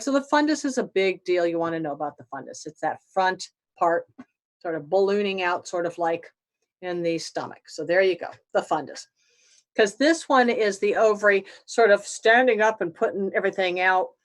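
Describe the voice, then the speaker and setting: A woman teaching an anatomy class in an emphatic, conversational, plain-spoken way. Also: mid-range voice. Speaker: biology professor. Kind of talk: informal classroom lecture on anatomy.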